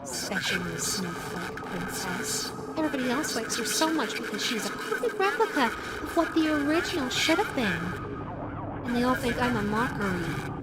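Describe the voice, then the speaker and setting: A woman's voice: a little high, big pitch swings, normal speed, very noisy. A woman voicing a flirty, menacing female animatronic fox in an audio roleplay, playful, coy and teasing. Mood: pouty, clingy. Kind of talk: audio roleplay addressed to the listener. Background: music.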